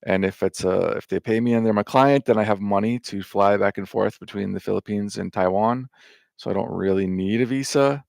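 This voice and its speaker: A man's voice: lowish voice, a little fast, vocal fry, a little mellow, no echo, clean studio sound. A man talking in a loose, meandering monologue, full of fillers, restarts and casual swearing. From United States. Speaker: vlogger.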